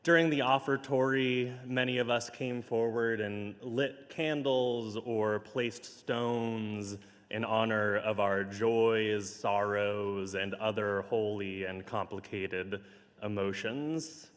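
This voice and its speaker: Slow, hoarse minister in a reverberant church. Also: male voice, on the low side, fairly even intonation. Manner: slow, measured and gentle. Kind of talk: pastoral notes and spoken prayer. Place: large church sanctuary. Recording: livestream with long hall reverb.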